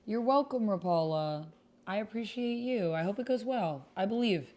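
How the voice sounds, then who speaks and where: A woman's voice: medium pitch, expressive, moderate pace, darkish tone. A woman hosting a livestreamed text roleplay game, chatting directly with viewers and reading posts aloud.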